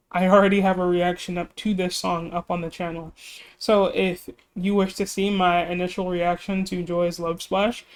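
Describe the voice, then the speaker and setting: A music reaction YouTuber chatting casually and rambling, laughing often and apologizing, while slightly losing their voice. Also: mid-range voice. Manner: self-deprecating, apologetic. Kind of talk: casual reaction video chat.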